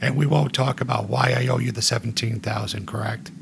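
A man in his forties with a gravelly voice, hosting a joking podcast chat. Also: mid-range voice, steady tone, brisk, a little hiss or hum. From United States. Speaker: podcast host.

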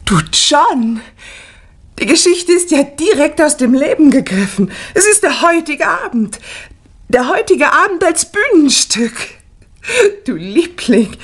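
A woman playing an affectionate, excitable wife in a 1960 German radio play, in an expressive theatrical manner. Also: high-pitched. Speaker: actress in a crime radio play.

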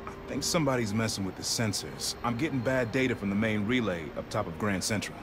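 Man as deep-voiced, brusque city worker. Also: lively intonation, quick pace, noisy background, close to an everyday voice. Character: brusque, streetwise adult man, guarded transit worker. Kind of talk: naturalistic video game acting.

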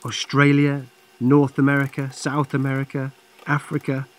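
A man's voice: mid-range voice, monotone, normal speed. A man in his forties making an upbeat, earnest crowdfunding appeal for his long cycling trip. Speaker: long-distance cyclist raising funds. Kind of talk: crowdfunding appeal, mix of voice-over and to-camera talk. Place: outdoors in a city park.

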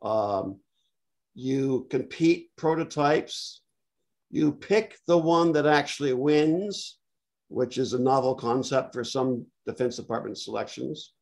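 An older man speaking bluntly and dryly, with many filled pauses, on a video call from a home study. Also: mid-range voice, creaky, darkish tone. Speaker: panelist. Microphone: laptop webcam on a video call.

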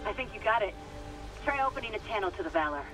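A woman's voice: medium pitch, lively intonation, fast talker, over loud music, close to an everyday voice, low-quality recording. An actress playing an urgent young woman technician in a dramatic science fiction game. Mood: tense.

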